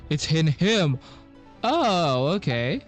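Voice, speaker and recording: A man's voice: a little high, very animated, extremely slow, over music. A man giving casual, playful, exclamatory reactions while playing a video game.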